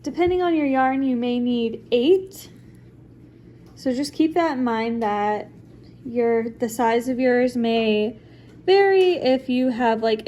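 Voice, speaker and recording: Young woman kinda stuffy from being sick, high voice, rambly crafty tutorial chat at her table, faint room hum behind. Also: darkish tone. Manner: chatty, rambling, lots of likes and ums. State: congested from a recent illness. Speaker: crochet tutorial host. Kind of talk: casual step-by-step craft tutorial. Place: home, at a table.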